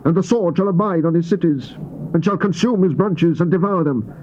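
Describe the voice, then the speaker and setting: An actor playing a stern Old Testament prophet, solemnly declaiming scripture in a dramatized Bible reading. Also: male voice, high-pitched, fairly quick, darkish tone, lo-fi sound, a little hiss or hum, natural voice. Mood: solemn sorrow. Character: stern male Old Testament prophet proclaiming God's judgment. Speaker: scripture reader.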